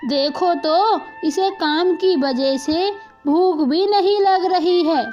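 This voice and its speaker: A woman playing a fretful, doting village mother in an animated moral story. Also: very high-pitched, slow. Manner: complaining, fussing. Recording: muffled lo-fi audio with music.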